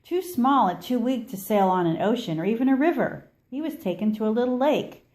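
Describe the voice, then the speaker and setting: A woman reading a children's story aloud expressively, with measured delivery and dramatic pauses. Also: fairly high pitch, animated, darkish tone. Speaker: storybook reader.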